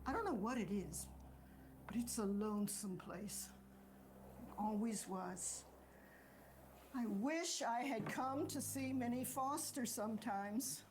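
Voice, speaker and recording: A woman's voice: fairly high pitch, takes it slowly, long pauses, background noise. A woman playing a regretful, plain-spoken country farm wife in a stage drama, with a hesitant, folksy way of talking. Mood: wistful, regretful. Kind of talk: stage drama dialogue acting.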